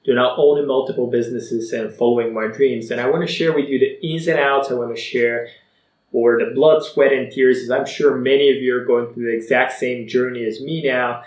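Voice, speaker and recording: Bulgarian man, twenties, Bulgarian native, upbeat vlogger telling his story, mid pitch, roomy echo. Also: brisk. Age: 20s. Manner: energetic, motivational, conversational. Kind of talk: personal story to camera.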